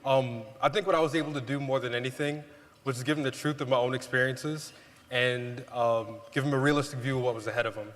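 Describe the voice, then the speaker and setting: Calm mentor guy on a panel, plain mid voice, hall echo. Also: medium pitch, fast. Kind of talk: panel answer. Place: large event hall. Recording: long hall reverb.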